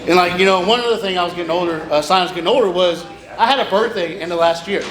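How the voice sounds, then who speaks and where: High-pitched male stand-up comic in echoey club. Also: fast talker, fairly bright tone. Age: 30s. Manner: rambling, self-deprecating, acts out dialogue. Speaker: stand-up comedian. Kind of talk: stand-up comedy, casual joke telling. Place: small live comedy venue, through speakers. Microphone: stage microphone with PA. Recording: echoey live club with chattering crowd.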